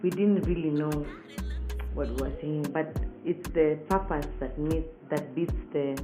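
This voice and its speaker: A Kenyan woman speaks in a slightly low voice, as a singer being interviewed. She tells personal stories in a casual, emphatic way, drawing out some words. Soft music plays behind her. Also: a little slow. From Nairobi, Kenya.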